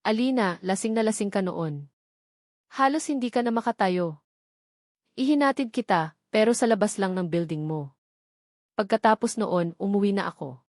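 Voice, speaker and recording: A cold-hearted young man from a romance drama story , as a woman narrator acts him out. He speaks with cruel, dismissive emphasis and quiet menace, in a very dry recording. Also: medium pitch, moderate pace, long pauses, dry recording, close to an everyday voice. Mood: cold, mocking. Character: cold, cruel young man rejecting his pregnant lover.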